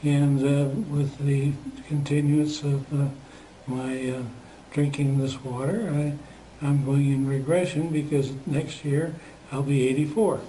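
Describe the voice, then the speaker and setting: An elderly man in his eighties speaks haltingly, pausing often and filling gaps with hesitations. His voice is mellow and warm at a middle pitch. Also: little pitch movement, a little hiss or hum, poor audio. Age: 80s. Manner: halting, many pauses and fillers, clears his throat. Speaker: customer giving a testimonial. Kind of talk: unscripted spoken testimonial.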